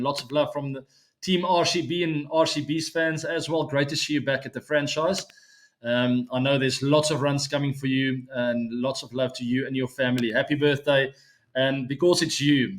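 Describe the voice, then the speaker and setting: A man in his forties, Afrikaans his first language, talks straight to his viewers in a loose, friendly way. He fills gaps with ums and uhs and laughs easily, his voice sitting in an ordinary middle pitch. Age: 40s. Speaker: cricket show host. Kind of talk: casual solo chat to viewers.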